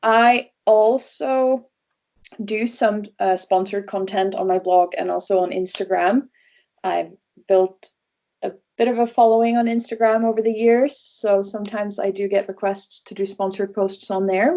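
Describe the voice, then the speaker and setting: A woman's voice: mid-range voice, steady tone, measured pace, warm tone. Travel blogger guest: young female, native Norwegian speaker, mid-range voice, conversational, somewhat muffled audio. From Norway. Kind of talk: conversational interview answers.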